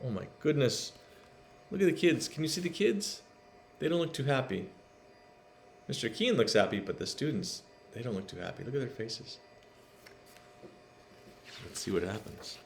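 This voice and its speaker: Male storybook reader, mid-pitched, very lively intonation, expressive and chatty with young listeners. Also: moderate pace, gravelly, pauses often, slight background noise. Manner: expressive, asks listeners questions. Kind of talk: read-aloud to children with chatty asides.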